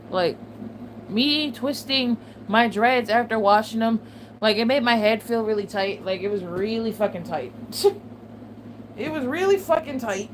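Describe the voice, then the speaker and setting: A woman vlogger chatting casually to her viewers, swearing often and laughing between remarks. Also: on the high side. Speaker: channel host. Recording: steady background noise.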